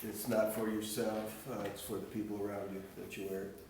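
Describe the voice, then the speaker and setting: A soft-spoken man chairing a city council meeting as mayor, with a flat, procedural delivery. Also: on the low side, a little fast. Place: council chamber. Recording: echoey council chamber from far off, noisy.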